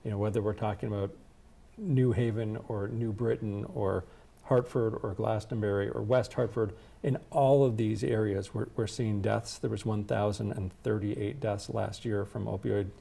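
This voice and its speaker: A man speaks as an expert guest in a mellow, gravelly voice. His phrasing is measured and deliberate as he explains his points conversationally. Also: fairly low pitch, fairly even intonation. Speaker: interview guest, healthcare executive.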